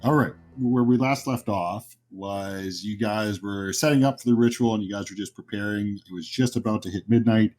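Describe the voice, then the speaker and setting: A man's voice: lowish voice, normal speed, few pauses, darkish tone. A man acting as game master, explaining the setup of a tabletop horror game to players.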